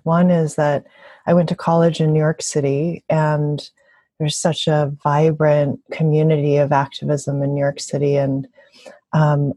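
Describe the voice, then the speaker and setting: A woman speaks as an interview guest in a low, dark-toned voice with a husky edge. She is reflective and thoughtful, talking conversationally about her own experience. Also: dry recording. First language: bilingual, also speaks Mandarin. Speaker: interviewee, labor organizer and activist.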